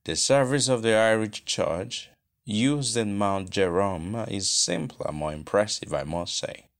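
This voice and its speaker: Man playing a solemn, slow-spoken gentleman, Nigerian accent. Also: on the low side, smooth. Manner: solemn, earnest. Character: solemn, proper gentleman mourner, mildly reproving.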